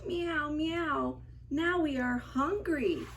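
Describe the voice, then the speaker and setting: A woman voicing meowing storybook cats and kittens with drawn-out, childlike delivery. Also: sits high, fairly bright tone, slight background noise, exaggerated voice. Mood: plaintive. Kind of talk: reader's theater character acting. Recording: distant from the mic.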